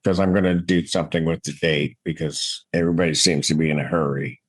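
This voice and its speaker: A man's voice: a bass voice, fairly even intonation, quick pace. A man speaking as a judge, explaining procedure in plain, informal words.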